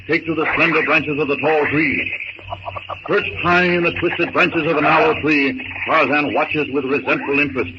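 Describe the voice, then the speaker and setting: A man giving dramatic scripted narration for a 1930s radio serial, theatrical and deliberate. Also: medium pitch. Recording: noisy, muffled 1930s radio recording.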